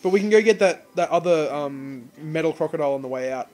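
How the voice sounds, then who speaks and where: A man's voice: a little high, animated, fast talker, darkish tone, soft background music. A man co-hosting a casual let's play, joking and laughing often as he talks through the game. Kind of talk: casual gameplay commentary.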